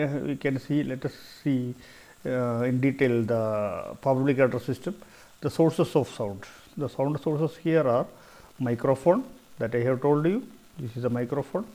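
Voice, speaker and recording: A man's voice: medium pitch, darkish tone. A middle-aged man with a South Indian Malayali accent explains slides as a lecturer, often repeating phrases for emphasis. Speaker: engineering lecturer.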